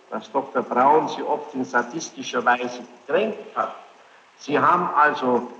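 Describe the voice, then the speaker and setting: A man formally questioning a witness as a presiding judge, speaking in a halting, deliberate way on an old courtroom tape. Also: medium pitch, a bit bright. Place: large echoing courtroom. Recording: echoey hall, dull noisy archive tape.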